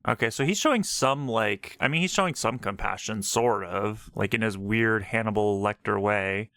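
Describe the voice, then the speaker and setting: A man streaming a video game playthrough, commenting casually in a slangy, excitable, reactive way into a close mic. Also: fairly low pitch, normal speed, dry recording.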